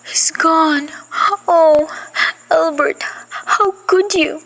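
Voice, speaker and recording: A woman's voice: extremely high pitch, fairly even intonation, drawn-out words, gravelly, fairly bright tone, a little hiss or hum, exaggerated voice, poor audio. A teenage girl playing a nervous, bedridden wife who complains shrilly in a school radio play. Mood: panicked. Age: teens. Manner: fretful, complaining, rising to panic.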